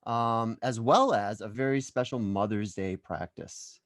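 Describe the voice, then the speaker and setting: A man's voice: fairly low pitch, measured pace, dry recording, clean studio sound. A man hosting a conversation show on a video call, upbeat and enthusiastic, with plenty of ums. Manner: upbeat, enthusiastic, with frequent fillers. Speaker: show host. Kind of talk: casual chat.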